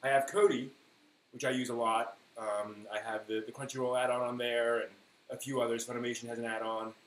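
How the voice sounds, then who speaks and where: A man hosting a casual live stream, replying to viewers in a halting way that often trails off mid-thought. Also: mid-range voice, little pitch movement. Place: indoor room at home with printer running. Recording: roomy echo, faint buzzing 3D printer noise. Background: faint buzz of a 3D printer.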